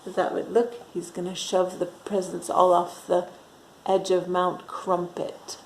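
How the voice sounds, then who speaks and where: A woman reading a storybook aloud to young children with expressive, dramatic emphasis and chatty asides. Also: lowish voice, a little slow, reverberant, a little hiss or hum, rough recording. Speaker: storytime reader.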